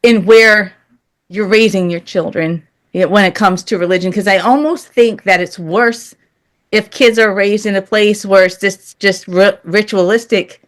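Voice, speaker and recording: A woman's voice: mid-range voice, normal speed. A woman speaking earnestly and candidly as an interview guest, in a conversational style.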